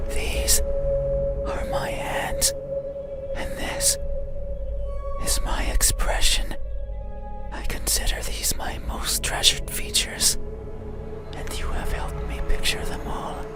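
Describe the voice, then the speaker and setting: A man voicing an ancient, lonely monster with melancholy, eerie politeness in a breathy ASMR horror whisper. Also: close to an everyday voice. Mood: eerie gratitude. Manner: slow, deliberate, intimate, halting phrases. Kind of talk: scripted ASMR horror roleplay monologue. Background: eerie music.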